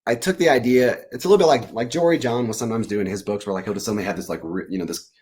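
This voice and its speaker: A man talking casually as an author guest in an interview, animated and rambling as he pieces ideas together aloud. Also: on the low side, racing, breathy edge. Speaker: children's book author, guest. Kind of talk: casual interview answers about writing.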